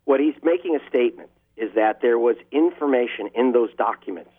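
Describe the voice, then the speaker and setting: A man's voice: medium pitch, fairly quick, slightly rough. A man, a journalist, answering interview questions over the phone with impassioned, emphatic delivery. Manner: impassioned, building emphasis on key words. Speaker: investigative journalist guest. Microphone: telephone line. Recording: tinny phone line.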